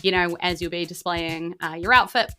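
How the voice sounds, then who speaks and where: A woman's voice: lowish voice, fairly even intonation, normal speed, sharp tone, faint music behind. A woman narrating a tabletop game casually as game master, chatty and conversational. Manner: chatty, conversational, acts out reactions.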